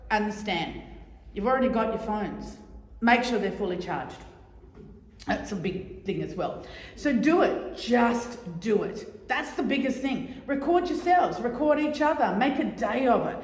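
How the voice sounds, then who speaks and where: A middle-aged woman giving a loud, chatty, joking talk to a society audience, repeating her key points. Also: a little high. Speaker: guest speaker on oral history. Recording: echoey hall.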